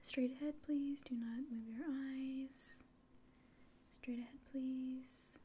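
Slow, whispering young woman doing ASMR. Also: high-pitched, fairly even intonation, a little hiss or hum. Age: 20s. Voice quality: breathy whisper and very soft speech. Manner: gentle, hesitant, polite clinical instructions. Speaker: ASMR roleplayer playing an alien doctor. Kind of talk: whispered ASMR medical exam roleplay. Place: small indoor room at home.

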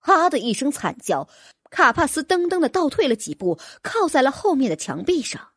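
A woman's voice: high-pitched, big pitch swings, normal speed, dry recording, studio quality. A woman reading a fantasy novel as an audiobook narrator, in a dramatic, scripted storytelling style.

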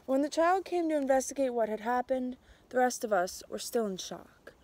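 A young adult woman reading a picture book aloud outdoors, with a measured, expressive storytelling delivery. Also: high voice. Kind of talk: storybook read-aloud, narrated as a tree. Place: outdoors in snowy winter woods.

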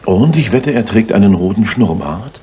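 A man acts a gentleman detective in a radio play, with a lively, wide-swinging voice. He is wry, precise and theatrically superior. Clear background noise marks the old recording. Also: fairly low pitch, moderate pace, lightly acted. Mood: cool, probing. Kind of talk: German radio play acting.

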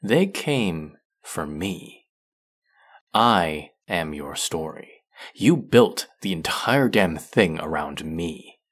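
A man playing a vengeful, brilliant fantasy villain in an audio roleplay, deliberate, sardonic and threatening, with an intimate delivery that can drop toward a whisper. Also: low-pitched, lively intonation, heavily acted. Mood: mocking, bitter.

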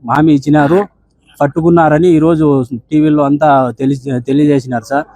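Fast-talking, higher-pitched Rayalaseema Telugu man. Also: little pitch movement, dark tone, no echo. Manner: deferential, ends sentences with "sir". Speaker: bereaved father on a phone call. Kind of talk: respectful account and appeal in a phone conversation.

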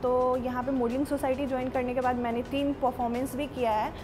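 A woman's voice: sits high, flat delivery, racing. A young woman from Nepal, a student giving a testimonial. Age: young adult. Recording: music behind voice.

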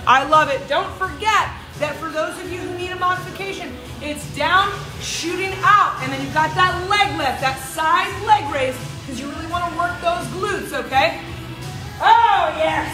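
Bubbly high-voiced woman coaching in an echoey gym. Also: normal speed. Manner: bubbly, encouraging, cheering and counting down. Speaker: fitness coach. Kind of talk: live workout instruction. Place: large gym with hard walls. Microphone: camera mic a few steps away. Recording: echoey gym with music behind. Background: workout music.

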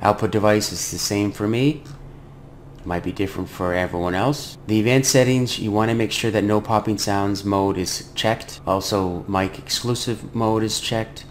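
A middle-aged man hosts a software tutorial in a low voice, close to the microphone. There is noticeable noise behind him as he explains each step. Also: fairly even intonation, moderate pace. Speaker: tutorial host. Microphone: close desktop microphone. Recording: clear background noise.

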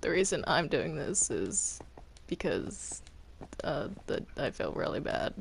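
Slow, halting, gravelly gamer with animated pitch. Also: slight background noise. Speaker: Minecraft roleplay player. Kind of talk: casual in-game roleplay chat.

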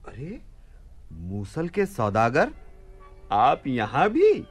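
A man playing an excitable, pleasure-loving commoner in a radio play, exclamatory and theatrical. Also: medium pitch, slightly rough, exaggerated voice. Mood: bewildered, humble. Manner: theatrical, exclamatory, drawn-out phrases. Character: excitable, pleasure-loving, bewildered young Baghdad husband and commoner. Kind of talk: Hindi radio play acting.

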